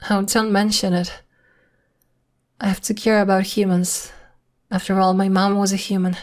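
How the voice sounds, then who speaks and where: A woman playing a young, polite and mysterious half-werewolf in an ASMR roleplay, speaking in a gentle, hesitant, earnest way. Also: medium pitch, little pitch movement, normal speed, close to an everyday voice. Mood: caring, protective. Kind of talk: ASMR roleplay, intimate one-sided acting.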